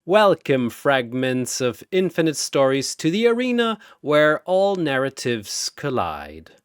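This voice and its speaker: A man voicing a grandiose ancient arena master who makes drawn-out showman's proclamations in a fantasy battle story. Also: on the high side, very animated, clear, resonant tone, no room sound, clean studio sound. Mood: grand welcome.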